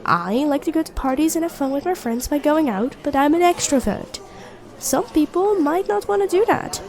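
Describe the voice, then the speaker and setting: High-pitched, chatty young female best friend, acted by a woman. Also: animated, moderate pace, a little mellow. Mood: friendly, chatty. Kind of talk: intimate ASMR roleplay acting, one side of a conversation.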